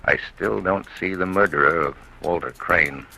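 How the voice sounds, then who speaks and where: An actor playing a calm, shrewd blind police captain who questions suspects and narrates in a measured, dry way, in 1940s radio acting style. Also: male voice, low-pitched, creaky, a little hiss or hum, exaggerated voice. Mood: probing. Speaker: detective narrator.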